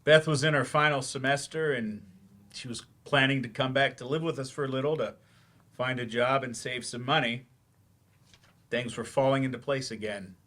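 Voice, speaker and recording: A man acts out a once-successful novelist who now teaches, weary and full of regret. His medium-pitched voice confesses haltingly and runs himself down, as in a staged play reading. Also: fairly even intonation, pushed and bright, lightly acted. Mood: bitter, resigned. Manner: halting, self-deprecating, confessional. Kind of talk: staged dramatic monologue.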